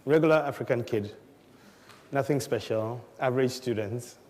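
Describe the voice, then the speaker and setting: A middle-aged man from Ghana recounting his life in a relaxed storytelling way, chuckling often and mimicking people in his anecdotes. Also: mid-range voice, normal speed, long reverb tail.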